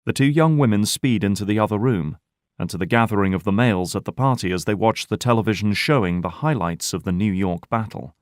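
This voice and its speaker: A man's voice: fairly low pitch, brisk, dry recording, studio quality. A man narrating an audio drama in scripted, present-tense storytelling style. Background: background music.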